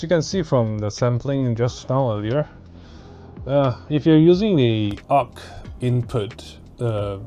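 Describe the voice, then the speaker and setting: A man's voice: mid-range voice, warm tone. A man giving a casual hands-on gear review in a blunt, mildly exasperated, sweary way. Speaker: tech reviewer.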